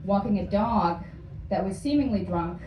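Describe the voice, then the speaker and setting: Awkward deadpan woman comic in an echoey club. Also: medium pitch, normal speed. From Florida. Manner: deadpan, hesitant, many ums and likes. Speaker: stand-up comedian. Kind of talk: stand-up set. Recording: distant, echoey club with noise.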